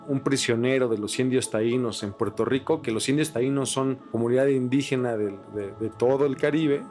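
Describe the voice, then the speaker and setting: Mexican guy on a podcast, slangy and opinionated, normal pitch, bit of guitar music behind. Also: a little mellow. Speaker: podcast co-host. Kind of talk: casual podcast discussion.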